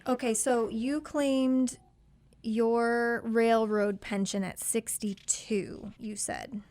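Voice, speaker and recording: A woman's voice: a little high, fairly even intonation, takes it slowly, a little mellow, no echo. Host: woman, patient explainer, dry close studio sound. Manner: friendly, explanatory, answers questions patiently. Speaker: financial advisor host. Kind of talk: call-in advice show.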